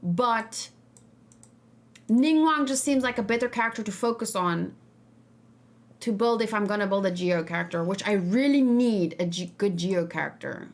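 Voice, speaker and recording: A woman's voice: mid-range voice, moderate pace. A woman streaming casual game reaction and discussion, thinking aloud and giving her opinions to viewers. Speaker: gaming streamer.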